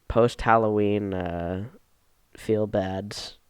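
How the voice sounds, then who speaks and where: A man's voice: fairly low pitch, fairly even intonation, drawn-out words, smooth. A man co-hosting a podcast in casual chat, sniffly with a cold, teasing and joking playfully. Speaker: podcast co-host.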